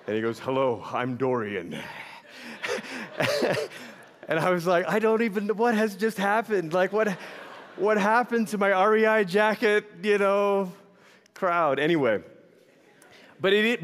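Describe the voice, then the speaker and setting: A man from Malaysia preaching a sermon in a church, telling animated, self-deprecating stories with humor. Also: sits high, normal speed, pauses often. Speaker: pastor. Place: church sanctuary. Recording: long reverb of a large hall.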